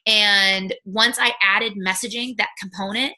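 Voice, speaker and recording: A woman talking casually on a video call as a guest, upbeat and chatty, with many quick restarts. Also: mid-range voice, fairly even intonation, sharp tone. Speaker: guest sales ambassador. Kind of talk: casual live-stream chat.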